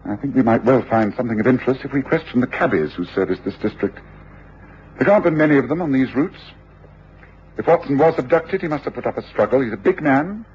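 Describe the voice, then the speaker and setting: Precise, commanding English detective male actor, mid-pitched, old radio drama style with faint noise. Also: animated, close to an everyday voice. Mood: brisk, commanding. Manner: brisk, precise, authoritative. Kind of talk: 1950s-60s radio drama acting. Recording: dull, noisy old radio drama recording.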